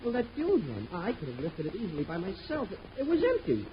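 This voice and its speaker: Boyish space cadet sidekick, high voice, talks fast, excitable, old muffled radio show. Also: male voice, a little hiss or hum. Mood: puzzled. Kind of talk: 1950s radio serial acting.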